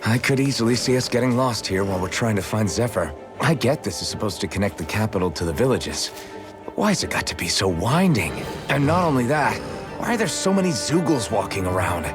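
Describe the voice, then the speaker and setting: An earnest young warrior from a fantasy game, with a fairly low voice. He reasons patiently and tries to keep the peace, over background music. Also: big pitch swings. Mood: concerned. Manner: earnest, conciliatory, measured. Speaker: game character voice. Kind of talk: scripted English game voice acting. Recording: background music under dialogue.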